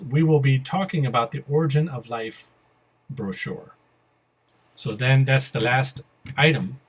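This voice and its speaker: A man with a slightly husky voice presenting a step-by-step software tutorial in a casual, methodical way. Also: medium pitch. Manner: methodical, spelling out each step. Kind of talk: casual step-by-step screencast instruction. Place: voice-over to a computer screen recording.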